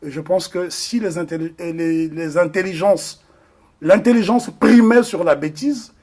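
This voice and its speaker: A man's voice: high-pitched, a little slow, darkish tone. A man from the Republic of the Congo, speaking as an interview guest in an earnest, emphatic way with frequent hesitations. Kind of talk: interview discussion.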